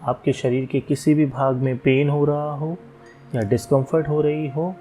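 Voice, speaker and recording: A man leading a guided relaxation meditation as an instructor, giving calm, unhurried instructions with long pauses. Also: on the high side. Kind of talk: guided yoga nidra meditation, slow soothing instructions. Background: meditation music.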